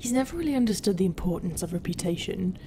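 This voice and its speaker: A woman playing a proud, brooding, non-human pirate captain in a roleplay, guarded and often dropping into a half-whisper with hesitant pauses. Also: medium pitch, animated, background noise, lightly acted. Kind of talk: roleplay ASMR acting.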